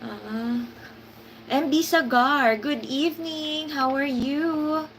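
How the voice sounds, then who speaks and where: Woman stream host, higher register, slow easy delivery, greets commenters by name. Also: fairly bright tone, a little hiss or hum, low-quality recording. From Philippines. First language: Hiligaynon. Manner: unhurried, reads out viewer comments and greets them. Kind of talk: casual live stream chat.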